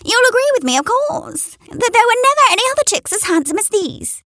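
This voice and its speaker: A woman voicing a vain, boastful hen who cackles loudly and brags about her eggs and chicks. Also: very high-pitched, very animated, brisk, dry recording, clean studio sound, pitched above the performer's own voice, full character voice. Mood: proud.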